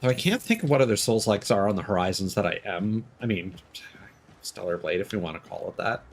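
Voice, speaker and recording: Frank, rambling low-voiced male streamer. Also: expressive, a little fast, gravelly, a little hiss or hum. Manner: rambling, frank, swears freely. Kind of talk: casual gameplay commentary.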